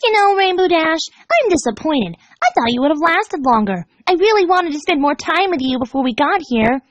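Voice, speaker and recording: A woman's voice: very high-pitched, sing-song, moderate pace, no room sound, voice pushed up. A woman voicing a gleefully cruel, bubbly young party pony in a storybook reading, perky and jokey while she menaces. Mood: mock regretful. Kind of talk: storybook fan fiction character voice.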